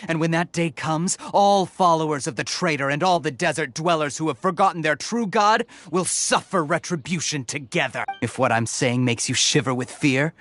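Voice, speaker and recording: A man's voice: fairly high pitch, normal speed, hardly any pauses, fairly bright tone, lightly acted. Sneering, menacing male desert mercenary acted. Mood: ominous zeal. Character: zealous, scornful desert mercenary informant, adult man. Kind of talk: English anime game voice acting. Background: game music.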